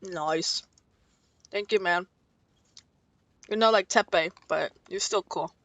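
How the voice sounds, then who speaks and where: A woman's voice: on the low side, slow pace, a bit bright, no echo. A woman VTuber giving casual live commentary on a game, with blunt, playful interjections. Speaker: VTuber streamer.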